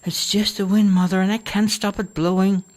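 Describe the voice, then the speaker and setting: A man's voice: sits high, level tone, moderate pace, voice pushed up, lightly acted. A man voicing a patient, weary grown daughter in a storybook reading, soothing and exasperated by turns.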